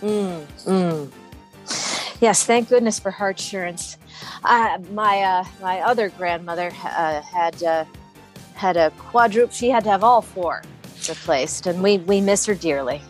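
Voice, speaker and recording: A woman playing an earnest, halting grandchild giving a testimonial in an improvised spoof commercial. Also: lowish voice, faint music behind, close to an everyday voice. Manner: slow, halting. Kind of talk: improvised parody radio commercial.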